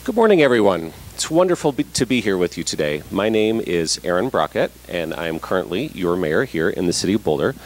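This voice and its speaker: Low voiced mayor guy opening his intro, pitch moves around a lot. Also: sing-song, brisk, hardly any pauses, low-quality recording. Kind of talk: candidate forum introduction. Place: library meeting room, standing before seated audience. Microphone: handheld mic.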